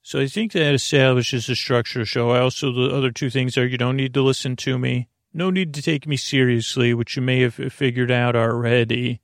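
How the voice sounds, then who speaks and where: A man hosting a bedtime podcast in a halting, meandering monologue with a creaky voice that drifts onto tangents. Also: medium pitch, steady tone, dry recording, studio quality. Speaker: podcast host.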